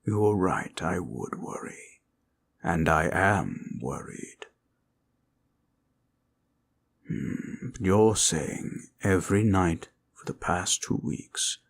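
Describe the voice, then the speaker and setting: Slow, gravelly ancient dragon. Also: male voice, slight background noise, heavily acted. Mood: gently concerned. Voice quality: deep, gravelly, husky voice. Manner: slow, measured, tender, old-fashioned formal phrasing. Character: ancient gentle male red dragon, fatherly and wistful. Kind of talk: audio roleplay acting.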